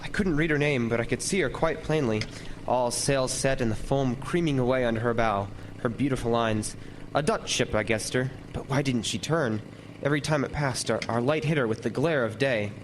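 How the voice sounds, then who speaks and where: A man's voice: medium pitch, a little hiss or hum, lightly acted, low-quality recording. A man playing a lighthouse keeper who tells his tale as dramatic first-person narration in old-time radio horror style. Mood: intent. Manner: vivid, deliberate storytelling with lingering descriptions. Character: lighthouse keeper recounting a frightful night.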